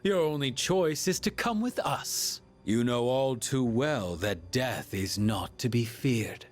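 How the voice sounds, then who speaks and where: An actor playing a calm, coldly soothing cult-leader villain in a video game, formal, measured and persuasive. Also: male voice, medium pitch, soft background music. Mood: quietly menacing.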